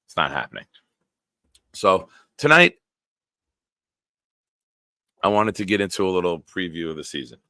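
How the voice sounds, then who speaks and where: A man in his forties hosting a live-stream show, reading viewer comments aloud and bantering bluntly with a smooth, deep voice. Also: very animated, moderate pace, pauses often, bright, forceful tone, no room sound. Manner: banters with chat, blunt and profane.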